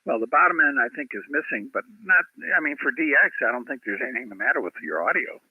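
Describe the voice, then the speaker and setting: A man with a husky, rough-edged voice chatting casually as an amateur radio operator. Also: medium pitch, animated, quick pace. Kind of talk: casual ham radio chat. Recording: dry narrow-band radio audio.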